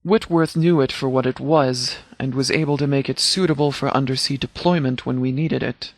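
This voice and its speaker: A man voicing a composed video game law officer who speaks formally and precisely, with a dry, deadpan manner. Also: medium pitch, moderate pace, dry recording, close to an everyday voice. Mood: measured, dry. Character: composed, formal male sheriff's department commander, strategic and dry. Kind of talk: video game dialogue voice lines.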